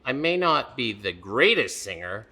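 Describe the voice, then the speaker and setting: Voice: medium pitch, sing-song, measured pace, sharp tone, slightly rough. A man co-hosting a children's storytime with a playful, theatrical, exuberant way of talking and reading.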